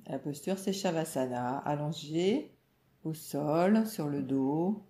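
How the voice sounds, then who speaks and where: Woman, meditation teacher, soothing instructions, soft-toned mellow timbre, very measured pace. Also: low-pitched. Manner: calm, soothing, instructional, pausing between short phrases. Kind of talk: guided yoga nidra relaxation narration. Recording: roomy, echoing voice recording.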